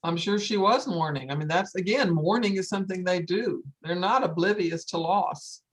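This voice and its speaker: A woman's voice: on the low side, normal speed. An older woman speaking earnestly and informally to an audience, stressing her points by repeating phrases. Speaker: wildlife sanctuary founder hosting a story time.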